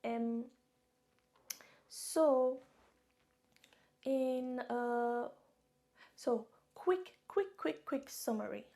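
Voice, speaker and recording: A Nigerian woman in her thirties tells her story straight to camera in a relaxed vlog. She talks in a chatty way, often laughs and goes back over her own words, and she is recorded on a camera-mounted mic. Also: on the high side. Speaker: vlogger. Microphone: camera-mounted shotgun mic.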